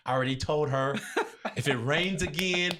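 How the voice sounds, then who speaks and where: Animated man telling stories casually. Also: a little high, moderate pace, background noise. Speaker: interview guest, event DJ. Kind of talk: casual anecdotal storytelling.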